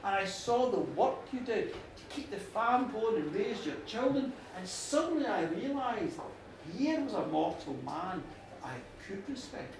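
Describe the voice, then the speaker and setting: A woman voicing an ancient, powerful warrior goddess in a told legend, measured and assured. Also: mid-range voice, very animated, pitched below the performer's own voice. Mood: solemn, proud. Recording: echoey room, distant mic, faint noise.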